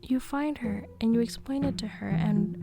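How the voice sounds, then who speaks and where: A woman narrating a radio drama in the second person, with deliberate phrasing and hushed, breathy passages. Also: on the high side, over music. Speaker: narrator and host.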